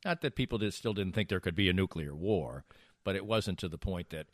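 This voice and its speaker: A middle-aged or older man hosting a podcast, trading playful banter and telling stories in a casual, halting way. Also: deep voice, animated, no echo, studio quality. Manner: joking, playful, halting delivery. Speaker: podcast host.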